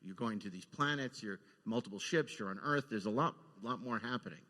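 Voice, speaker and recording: A man's voice: lowish voice, fairly quick, bright, forceful tone. A man moderating a live panel, putting interview questions to his guests in a conversational way. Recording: echoey hall, live panel sound.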